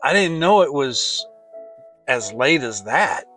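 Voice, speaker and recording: A man's voice: mid-range voice, big pitch swings, slow pace, bright, forceful tone, faint music behind, pitched below the performer's own voice, full character voice, poor audio. A man voicing a kindly, simple-minded, honey-loving toy bear in a storybook, earnest and naive. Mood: musing.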